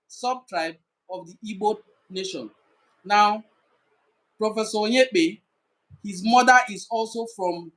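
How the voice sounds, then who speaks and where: Nigerian man, fairly high voice for a man, emphatic explainer who repeats and lists points. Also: a bit bright, rough recording. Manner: repetitive, emphatic listing. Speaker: cultural commentator. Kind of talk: educational talk to camera.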